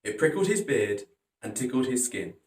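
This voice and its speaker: A man's voice: medium pitch, very animated, moderate pace, a little mellow. A man hosting a storytime, narrating a children's picture book in a dramatic, emphatic way. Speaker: storytime host reading picture books. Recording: roomy echo, distant from microphone.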